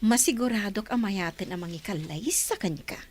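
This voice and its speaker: A woman's voice: mid-range voice, expressive, moderate pace, darkish tone, lightly acted. An actress playing a sly female friend coaching a deception in a radio drama. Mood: concerned.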